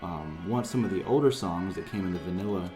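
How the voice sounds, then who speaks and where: A man's voice: sits low, fairly quick, music in the background. A man presenting his own project in casual, conversational promotional narration. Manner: informal, conversational.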